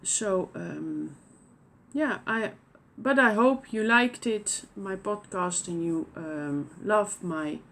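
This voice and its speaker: A woman from the Netherlands with a Dutch accent, hosting a knitting podcast in casual show-and-tell chat and pausing often with fillers and restarts. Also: mid-range voice, gravelly, a bit bright. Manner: hesitant, many fillers and restarts.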